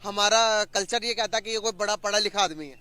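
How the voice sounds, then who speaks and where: A man interviewing people, asking animated, leading questions. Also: high voice, a little fast, smooth, no echo. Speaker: street interviewer. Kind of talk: reaction interview.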